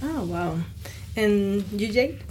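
Woman podcast host, mid-pitched and effusive, lots of delighted exclamations. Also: lively intonation, slow, darkish tone, a little hiss or hum. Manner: gushing, exclaims often. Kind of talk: scripted intro, then casual interview chat.

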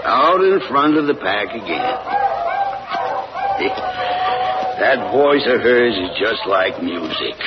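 An old hound breeder from the backwoods talks fondly of his dog in a folksy drawl in a 1940s radio drama. His voice rises and falls widely at an unhurried pace. The old broadcast sounds dull and carries a steady hiss. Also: mid-range voice. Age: older. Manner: folksy drawl, fond and chuckling. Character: old Missouri hound breeder, doting father.

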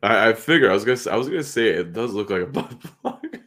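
A man's voice: lowish voice, sing-song, fast talker, a bit bright. A man co-hosting a podcast, laid-back and slangy, laughing along in casual banter. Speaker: podcast co-host.

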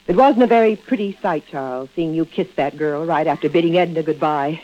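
A woman's voice: low voice, fairly quick, dark tone, natural voice. An actress playing a stern, sardonic woman in a 1940s-style radio drama, blunt and cutting as she accuses. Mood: sardonic, accusing. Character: stern, sardonic woman, loyal friend of betrayed wife. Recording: muffled old radio drama recording.

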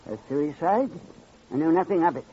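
An actor playing a mild, henpecked justice of the peace, placating and formal, in an old-time radio crime drama. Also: male voice, a little high, lively intonation, moderate pace, close to an everyday voice. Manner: placating, formal, ornate phrasing. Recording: muffled, noisy vintage radio recording.

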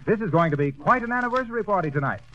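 A man announcing, reading an ad and narration in a brisk salesman's pitch. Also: animated, pushed and bright. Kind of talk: scripted ad read and narration. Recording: dull 1930s radio recording.

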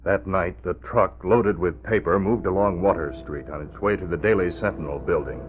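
A man narrating a radio drama in a measured, tension-building way. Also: deep voice. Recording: tinny old radio broadcast with music.

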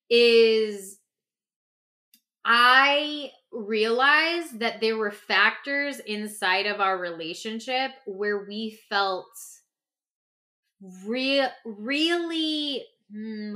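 A woman co-hosting a podcast in a casual, reflective conversation, talking in an animated, informal way with lots of "like". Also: medium pitch. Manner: animated, informal, peppers talk with "like". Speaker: podcast co-host.

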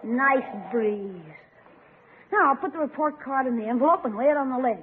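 An actor in an old-time radio comedy playing a scheming, anxious young schoolboy with a youthful, expressive voice. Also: sits high, normal speed, a little hiss or hum, close to an everyday voice. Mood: sly musing. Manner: expressive, folksy exclamations. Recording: muffled vintage radio recording.

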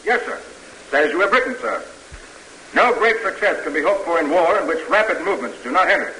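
An actor playing an earnest young military cadet who recites crisply and formally in an old radio drama. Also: male voice, a little high, animated, fairly quick, pushed and bright, rough recording. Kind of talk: 1940s-50s radio drama acting. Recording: hissy vintage radio recording.

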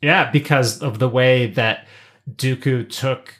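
Podcast co-host, medium pitch, casual discussion, explanatory, joking asides. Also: slow pace, fairly bright tone. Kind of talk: casual pop-culture discussion.

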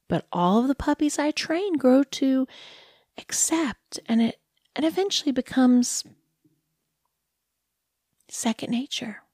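A woman's voice: on the high side, warm tone, husky, no room sound, studio quality, pitched above the performer's own voice. A woman voicing a sugary, condescending woman who trains human pets, in half-whispered, patronizing baby talk.

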